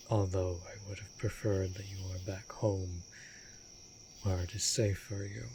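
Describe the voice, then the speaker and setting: A man playing an immortal vampire master, a tender and brooding lonely lover, speaking formally and often breathily in a romantic fantasy audio roleplay. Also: sits low. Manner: formal archaic wording, slow and deliberate, often dropping to breathy whisper. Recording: steady background noise of night crickets. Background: night insects and crickets.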